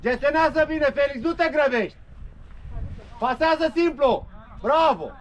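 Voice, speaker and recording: A man coaching football from the touchline, shouting short, insistent instructions and encouragement to players. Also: extremely high pitch. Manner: insistent, urging, full of short commands and encouragement. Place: outdoors at a football pitch.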